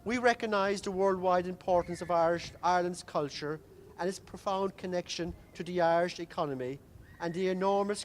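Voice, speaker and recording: A man with a high-pitched voice reads a formal declaration aloud outdoors. His phrasing is deliberate, in the style of a master of ceremonies. Faint noise of the open air runs behind him. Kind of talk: formal public reading of a written declaration. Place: outdoors in a city square.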